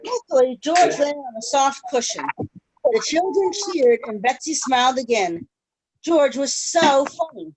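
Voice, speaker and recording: A woman with a fairly high voice reads a children's storybook aloud. Her delivery is expressive, shaped for young listeners. The sound is a video call recording with faint noise. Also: a little slow. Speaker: children's story-time reader. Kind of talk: reading a picture book aloud to children.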